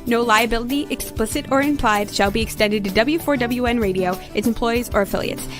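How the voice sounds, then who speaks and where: A woman reading a station's legal disclaimer in an even, formal way. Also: on the high side, a little fast. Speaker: station announcer. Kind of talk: scripted disclaimer read. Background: music.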